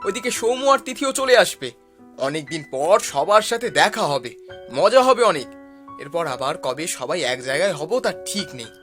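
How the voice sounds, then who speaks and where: Man acting high-pitched, lively young buddy. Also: normal speed, soft background music. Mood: eager. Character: eager, chummy young man hosting old friends. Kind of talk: cartoon voice acting.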